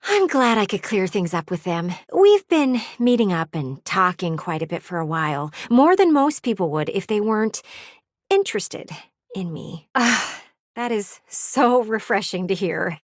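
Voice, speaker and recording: A vain, gossipy video game character, with a mid-pitched feminine voice that swoops dramatically from word to word. She is blunt and haughty, with a flirty streak and emphatic delivery. The sound is dry and close. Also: no room sound.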